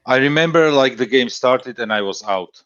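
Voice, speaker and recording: A man talks in a casual voice chat, stopping and restarting his sentences with lots of fillers. His voice sits at a middle pitch. The audio is dry and a little dull, like a headset on a call. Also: lively intonation, a bit bright, no room sound. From Slovakia. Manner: halting, many fillers and restarts. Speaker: stream chat participant.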